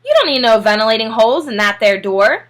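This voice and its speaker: A woman's voice: a little high, lively intonation, brisk, fairly bright tone. A woman voicing an argumentative, skeptical male repairman in a storybook, with folksy grammar and an exasperated, sarcastic manner. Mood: dismissive.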